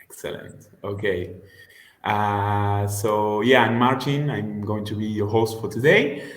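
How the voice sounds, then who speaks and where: Sex: man. Role: forum host. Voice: lowish. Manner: hesitant, lots of "uh" and "um". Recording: echoey room on a video call. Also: fairly even intonation, slow. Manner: hesitant, filler-heavy. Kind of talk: hosting an online forum.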